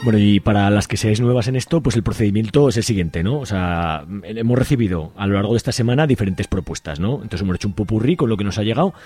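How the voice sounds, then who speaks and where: Low-voiced Spanish man presenting casually. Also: fast, few pauses, dark tone, breathy edge, dry recording, faint music behind. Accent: Spain. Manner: colloquial, uses tag questions. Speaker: radio show presenter and serial team member. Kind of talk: casual explaining to listeners.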